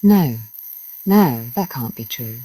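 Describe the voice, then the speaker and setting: A woman's voice: very deep voice, animated, takes it slowly, warm tone, breathy edge, no room sound, pitched below the performer's own voice, full character voice, rough recording. A woman voicing a proud young bride in a folktale, frightened, breathless and disbelieving.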